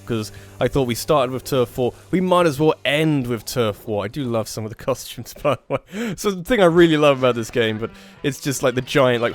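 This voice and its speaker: Rambling man commentating a match. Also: fairly high pitch. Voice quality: slightly nasal. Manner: rambling, self-correcting play-by-play. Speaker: tournament organiser and commentator. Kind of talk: casual live game commentary.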